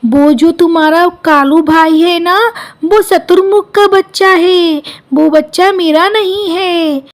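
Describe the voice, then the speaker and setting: Woman voicing a very high-pitched kindly mother bird. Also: slow. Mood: grave confiding. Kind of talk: Hindi cartoon story dialogue.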